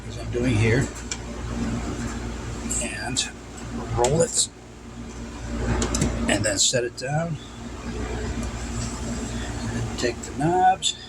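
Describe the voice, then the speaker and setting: A man's voice: medium pitch, expressive, very slow, long pauses. Man demonstrating hands-on, very noisy recording. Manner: instructional, repeats cautions. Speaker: vintage radio restorer demonstrating. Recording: loud hum and background noise.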